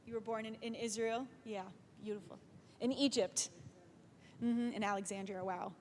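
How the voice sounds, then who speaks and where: A woman's voice: on the high side, fairly even intonation, moderate pace, slight background noise. A woman leading a live prayer service in a synagogue sanctuary, speaking in an upbeat, encouraging way into a handheld mic. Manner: warm, upbeat, encouraging congregation chatter. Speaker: service leader. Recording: long hall reverb.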